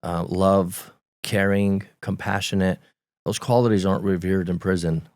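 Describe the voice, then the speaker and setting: A very low, gravelly man's voice with a Romanian accent, speaking with a flat, even delivery. He talks in his forties as a podcast guest, stopping and restarting as he recalls his past and laughing lightly now and then. The recording is close and dry. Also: no echo. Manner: halting, repeats words while recalling, laughs lightly. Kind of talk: candid conversational storytelling.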